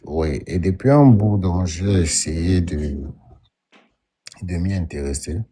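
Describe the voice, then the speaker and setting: A man's voice: deep voice, slow, mellow, breathy edge. A man calling in to a live stream, speaking hesitantly and carefully, often restarting his sentences. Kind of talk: casual call-in talk.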